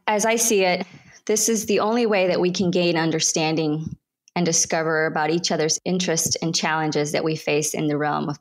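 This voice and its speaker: A woman from Ecuador who grew up in North Carolina, hosting a podcast with introductions and conversation. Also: low-pitched. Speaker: podcast host.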